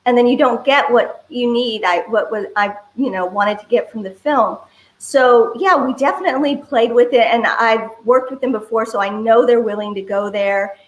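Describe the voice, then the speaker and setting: Female interview guest, echoey room, mid-pitched voice, chatty, meandering phrasing. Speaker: film writer-director, interview guest. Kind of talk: casual interview conversation. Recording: roomy, echoing voice.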